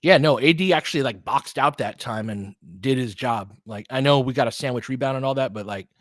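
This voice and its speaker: A man's voice: lowish voice, dry recording, studio quality. A man giving conversational, partisan basketball play-by-play commentary, reacting openly to the action as it unfolds.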